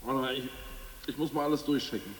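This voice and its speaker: A man's voice: medium pitch, quick pace, fairly bright tone, big-room sound, a little hiss or hum, lightly acted, poor audio. A man playing an eager ousted politburo member. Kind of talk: 1980s radio drama acting.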